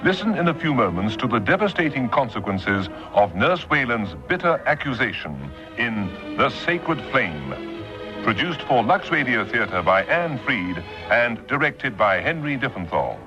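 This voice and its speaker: A man's voice: medium pitch, big pitch swings, a little slow. Male vintage radio announcer, formal, dramatic, music behind, muffled lo-fi sound. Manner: formal, theatrical. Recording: dull lo-fi radio broadcast. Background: prominent music.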